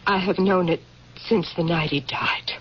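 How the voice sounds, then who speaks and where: An actress playing a grieving, proud and resolute general's widow in an old-time radio drama, speaking formally and with theatrical feeling. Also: female voice, on the low side, steady tone, measured pace, lightly acted. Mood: confessional, anguished. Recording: muffled, hissy old radio recording.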